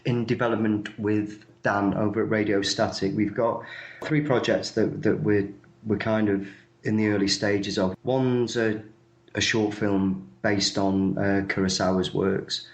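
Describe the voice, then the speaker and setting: Man in his thirties, low voice, roomy echo, casual interview talk, hesitant, self-effacing. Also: steady tone, measured pace. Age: 30s. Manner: hesitant, self-effacing, often repeating words. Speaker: actor being interviewed.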